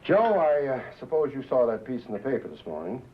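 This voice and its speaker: An actor playing a scheming, paternal network president in a 1950s film drama, condescending, with deliberate, hesitant pauses. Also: male voice, mid-range voice, big pitch swings, narrow, tinny audio, a little hiss or hum, lightly acted. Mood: calculating. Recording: thin, boxy old film soundtrack.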